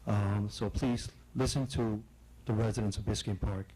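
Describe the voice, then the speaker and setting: A man's voice: lowish voice, steady tone, moderate pace, warm tone, poor audio. A man giving firm public comment as a longtime resident, urging officials to listen.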